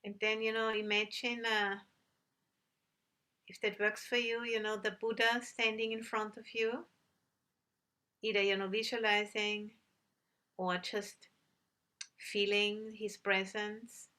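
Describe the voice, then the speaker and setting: Slow, even-toned woman guiding meditation. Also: mid-range voice. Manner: unhurried, gently instructing with long pauses. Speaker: meditation teacher. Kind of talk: guided meditation.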